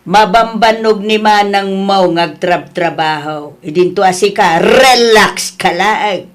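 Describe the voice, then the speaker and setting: An actress with an Ilocano Filipino accent playing a reproachful middle-aged mother in an audio drama, chiding with drawn-out words. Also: medium pitch, natural voice.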